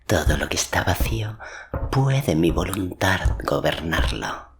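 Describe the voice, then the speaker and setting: Storybook sorceress, played by a man: seductive servant, slyly flattering, hushed, drawn-out speech over clear noise. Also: deep voice, heavily acted. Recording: clearly noisy background with clicks.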